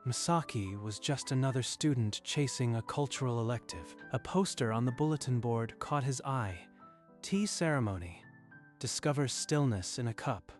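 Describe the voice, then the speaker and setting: A man reading scripted story narration in a measured, literary storytelling manner. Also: mid-range voice, soft background music.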